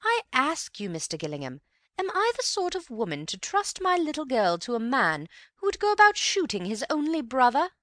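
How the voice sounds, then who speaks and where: Woman playing an indignant, gushing middle-aged mother, swooping high, dry close sound. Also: huge pitch swings, normal speed, fairly bright tone, dry recording, full character voice.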